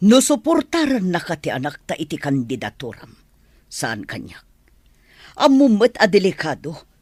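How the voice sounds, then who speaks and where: Worried, animated Ilocano mother. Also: on the low side, moderate pace, breathy edge, dry recording, heavily acted. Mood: worried, opposed. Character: worried, stern middle-aged wife and mother. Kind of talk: radio drama acting.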